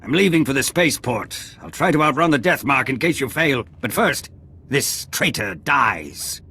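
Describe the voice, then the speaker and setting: An actor playing a stern, urgent ally in a video game, speaking in a commanding way. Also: male voice, medium pitch, animated, moderate pace, sharp tone, breathy edge, exaggerated voice. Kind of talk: video game cutscene acting.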